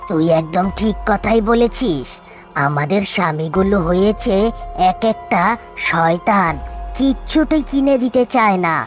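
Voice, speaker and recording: A stubborn cartoon tigress wife, acted by a woman, speaking at an everyday female pitch. She complains emphatically and indignantly, with faint music underneath. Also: animated, measured pace, lightly acted. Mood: resentful. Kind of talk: cartoon voice acting. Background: background music.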